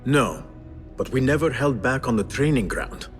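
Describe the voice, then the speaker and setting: An actor playing a young male assassin in a game, calm, with a dry wit. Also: medium pitch, normal speed, soft background music, natural voice.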